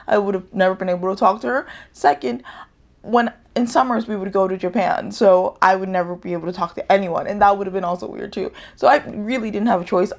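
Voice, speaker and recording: Young woman with a gravelly, rambling chat. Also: medium pitch. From United States. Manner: rambling, self-correcting, laughs often. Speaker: vlogger. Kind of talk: casual vlog chat.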